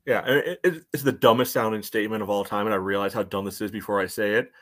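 Low-voiced American man, measured sports talk. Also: a bit bright. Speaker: podcast co-host. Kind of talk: casual sports analysis chat.

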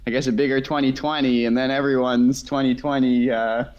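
Smooth-voiced young man, hesitant and chatty. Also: medium pitch, level tone, brisk, a little mellow, dull audio. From Massachusetts, US. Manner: hesitant, many fillers and restarts, laughs lightly. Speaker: guest, ultra runner. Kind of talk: casual interview talk. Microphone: remote call.

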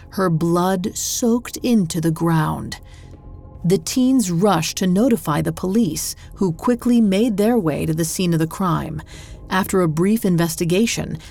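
Low-voiced woman narrating true crime. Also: creaky, darkish tone. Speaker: podcast host and narrator. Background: music.

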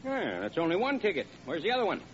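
An actor playing a brisk, unbending train gate attendant in an old radio comedy, curt and official. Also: male voice, high-pitched, wildly swooping pitch, a little hiss or hum. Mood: businesslike. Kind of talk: old-time radio acting, station announcing. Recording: muffled, echoey vintage radio, distant, music behind.

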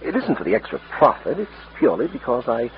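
Smug, creaky-voiced gentleman outlaw. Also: mid-range voice, sing-song, quick pace. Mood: smug, gloating. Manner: suave, pompous, sprinkles in French phrases. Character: smug, cultured, cold-blooded male outlaw posing as French gentleman. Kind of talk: old-time radio western acting. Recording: muffled, hissy old radio recording.

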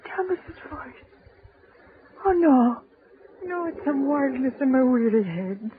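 A woman's voice: high voice, expressive, takes it slowly. An old widow speaks in a sorrowful, confiding tone. Her voice comes through a tinny old broadcast with faint hiss. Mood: anguished. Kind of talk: old-time radio drama narration.

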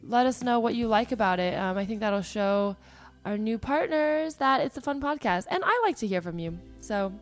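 Hoarse, chatty woman podcast host. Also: on the high side, expressive, moderate pace, hardly any pauses, faint music behind. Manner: chatty and upbeat, many fillers. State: hoarse, partly lost voice. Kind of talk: casual podcast chat.

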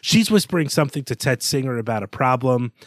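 A man's voice: medium pitch, lively intonation, fast, no room sound. Wry man discussing books, dry recording. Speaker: podcast co-host. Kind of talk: conversational literary discussion.

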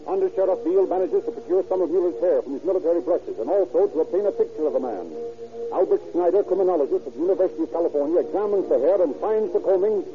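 A man narrating a 1930s radio crime drama in a brisk, dramatic announcing manner. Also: high-pitched, lively intonation. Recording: tinny old radio broadcast under loud music.

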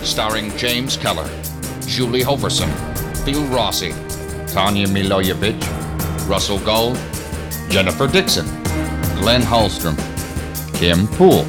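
A man's voice: fairly low pitch, a little slow, long pauses. A man announcing a drama series in a dramatic, scripted introduction. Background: loud music.

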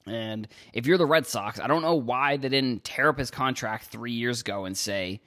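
A man's voice: mid-range voice, moderate pace, bright, forceful tone. A young man from Cape Cod, hosting a casual solo sports podcast, rambling and restarting his sentences. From Cape Cod, Massachusetts. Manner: rambling, restarts sentences, stumbles over words. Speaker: podcast host.

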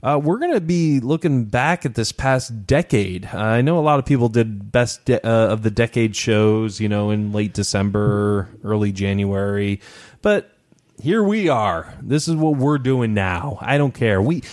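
A man's voice: on the low side, lively intonation, measured pace, a little mellow. Rambling laid-back man hosting a podcast. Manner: rambling, laughs often, many restarts. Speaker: podcast host. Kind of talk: casual movie podcast chat.

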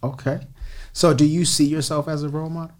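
A man hosting a podcast, asking casual interview questions in a relaxed, slangy way. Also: fairly high pitch, brisk. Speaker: podcast host.

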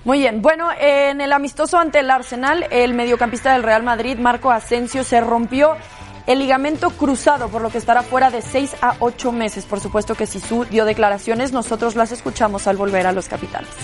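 A woman anchors a sports news segment, reading headlines in a measured delivery. Her voice is a bit high. Faint music plays under her. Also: steady tone. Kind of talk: scripted news read.

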